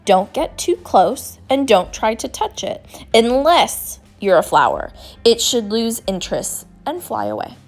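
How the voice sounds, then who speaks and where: A woman reading a children's book aloud in an expressive, scripted narrating style. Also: fairly high pitch, a little slow, faint music behind. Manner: expressive, acts out dialogue. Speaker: storybook reader.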